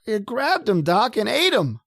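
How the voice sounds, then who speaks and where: A woman's voice: a little high, very animated, measured pace, fairly bright tone, no echo, studio quality, exaggerated voice. A woman playing a timid, stammering male lab assistant in an old-time radio horror drama, pleading and easily scared. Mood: frightened.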